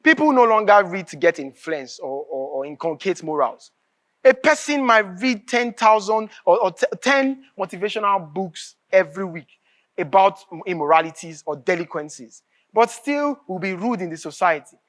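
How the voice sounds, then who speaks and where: An actor as bitter anguished writer, Nigerian accent, theatrical declamation, very animated pitch, repeated phrases. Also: moderate pace, close to an everyday voice. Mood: indignant. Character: anguished, bitter writer raging at a corrupt nation. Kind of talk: live stage drama acting.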